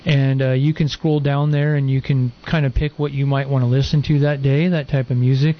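A man's voice: medium pitch, little pitch movement, a little fast, lo-fi sound. A man guesting on a radio show, talking in a casual, rambling way with lots of fillers. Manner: rambling, lots of ums and likes. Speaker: guest co-host.

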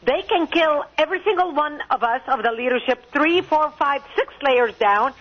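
A woman's voice: high voice, lively intonation, moderate pace. A woman from Lebanon, a commentator arguing emphatically in a radio interview over a phone line. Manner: emphatic, argumentative, rising to outbursts. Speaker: political commentator guest.

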